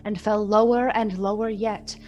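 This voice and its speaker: A woman narrating a Victorian Christmas tale for a radio play, measured, solemn and expressive. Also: mid-range voice, a little hiss or hum, natural voice. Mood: measured storytelling.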